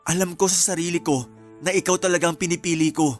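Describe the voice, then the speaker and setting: A man's voice: on the high side, steady tone, fast talker, soft background music, close to an everyday voice. Actor as desperate, pleading lovestruck man. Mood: impassioned, urgent. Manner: pleading, earnest. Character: desperate, lovestruck groom begging his lover to run away. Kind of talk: Filipino radio-style romantic drama acting.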